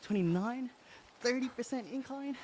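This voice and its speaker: An Australian man on a casual live stream, reading viewer comments aloud while riding an indoor bike, upbeat and quick to laugh. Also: very high-pitched, a little mellow, a little hiss or hum. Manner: upbeat, laughs often, friendly and chatty.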